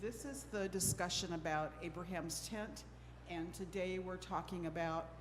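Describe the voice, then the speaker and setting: A woman's voice: on the low side, moderate pace. A middle-aged woman from Oregon moderating a church panel discussion, chatty and a bit nervous, joking at her own expense. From Oregon, USA. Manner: nervous, self-deprecating, chatty with laughs. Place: church hall. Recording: long hall reverb with faint noise.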